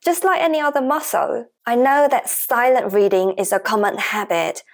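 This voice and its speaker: A woman's voice: a little high, moderate pace. A woman who speaks Chinese natively, hosting her own channel, gives an encouraging, carefully paced scripted lesson in English on learning Mandarin. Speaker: Mandarin teacher, channel host.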